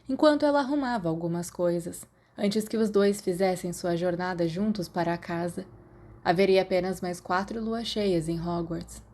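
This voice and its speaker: A woman's voice: medium pitch, dark tone. A woman with a Brazilian accent reading scripted fiction as an audiobook narrator. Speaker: podfic narrator.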